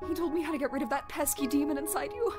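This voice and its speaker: A woman's voice: high-pitched, racing, natural voice. A woman playing a deranged religious mother in a dramatic manga dub, sweetly menacing and fanatically devout. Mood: manic joy. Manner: sing-song sweetness turning frantic. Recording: music behind the voice.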